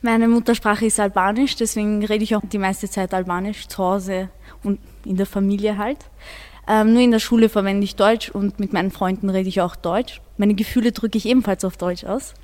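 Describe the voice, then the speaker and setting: Teen girl, steady plain voice, echoey room. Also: medium pitch, moderate pace. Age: teenager. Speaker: student.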